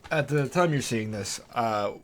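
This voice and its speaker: A man's voice: mid-range voice, expressive, unhurried, fairly bright tone. A man hosting a podcast in casual, conversational chat. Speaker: podcast host. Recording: clear background room noise.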